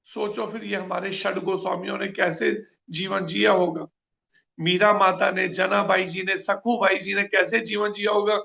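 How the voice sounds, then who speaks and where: A man's voice: high-pitched, steady tone, measured pace, vocal fry, pushed and bright. A man preaching a scripture class, earnest and full of rhetorical questions.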